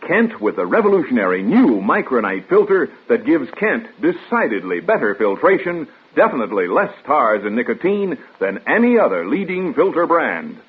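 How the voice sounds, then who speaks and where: Swooping, husky vintage radio ad man. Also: mid-range voice, very animated, normal speed, hardly any pauses, phone-quality sound. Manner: emphatic salesman delivery. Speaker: commercial announcer. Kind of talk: scripted 1950s radio advertising pitch. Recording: thin, band-limited old radio recording.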